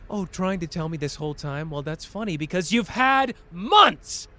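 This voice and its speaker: A man's voice: high-pitched, sing-song, moderate pace, pushed and bright, a little hiss or hum, full character voice. A man playing a fierce, protective young sorcerer who feels betrayed and is in love, in an emotional, intimate anime roleplay addressed to the listener. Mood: hurt, angry. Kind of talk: dramatic ASMR character roleplay.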